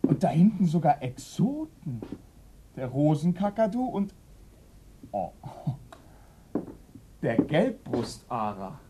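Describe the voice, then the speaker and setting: An actor playing a polite, hesitant, earnest police detective's assistant in a German radio drama, often repeating himself. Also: male voice, a little high, very animated, takes it slowly, pauses often, dark tone, a little hiss or hum. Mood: fascinated.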